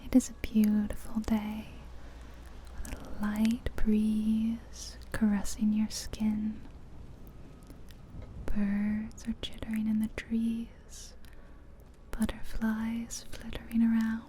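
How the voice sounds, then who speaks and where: Very slow, whispery, dark-toned woman. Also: fairly high pitch, monotone, a little hiss or hum. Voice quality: breathy, whispery soft-spoken voice. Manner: slow, gentle, soothing, with long pauses. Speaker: ASMR host and narrator. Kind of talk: ASMR guided visualization, scripted second-person narration. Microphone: close ear-to-ear ASMR microphone.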